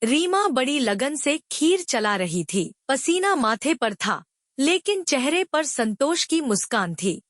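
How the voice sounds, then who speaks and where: Lively high-voiced woman storyteller. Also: slow, no room sound, studio quality. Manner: expressive storytelling. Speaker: narrator. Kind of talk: scripted storybook narration.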